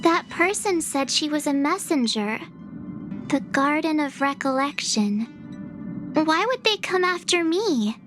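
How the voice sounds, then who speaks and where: Game heroine: sweet, uncertain teenage-sounding girl, high pitch, unhurried, music behind. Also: expressive. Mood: curious, grateful. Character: sweet, puzzled young girl with lost memory. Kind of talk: video game voice acting.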